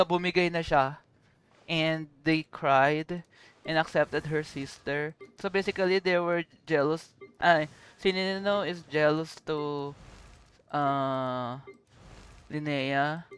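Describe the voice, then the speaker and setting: A Filipino game streamer and native Tagalog speaker giving casual live reactions, halting and switching between languages. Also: medium pitch, a bit bright, clear, resonant tone. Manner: code-switching, halting, trailing off. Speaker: game livestreamer. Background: game music.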